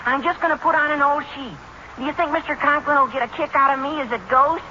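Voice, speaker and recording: Squeaky, eager teenage boy. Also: steady tone, fast talker, full character voice. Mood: excited, cheeky. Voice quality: squeaky adolescent voice. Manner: gushing, exclamatory, earnest. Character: eager, cheeky teenage high school boy. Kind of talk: 1940s-50s radio comedy acting. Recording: muffled old radio recording, loud noise.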